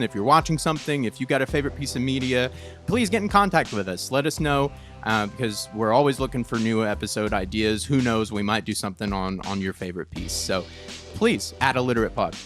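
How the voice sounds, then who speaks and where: A man hosts a casual podcast in an excited, easily amazed way. His voice sits at an average pitch, and he often doubles back on words for emphasis. Music plays faintly behind him. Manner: halting, repeats and stresses his words. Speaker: podcast host.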